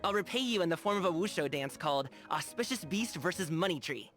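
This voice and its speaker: Game role: cheerful, earnest young guy, casual and slangy, very lively pitch, music behind. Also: brisk. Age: young adult. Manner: casual, chatty, slangy, eager. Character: cheerful, earnest young male lion-dancer and tea lover. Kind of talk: animated video game character dialogue.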